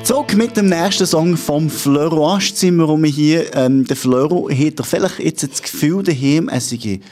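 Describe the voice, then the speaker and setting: A man's voice: on the high side, moderate pace, a little mellow, faint music behind. A man hosting a radio interview, chatty and informal as he introduces his guest. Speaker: radio host.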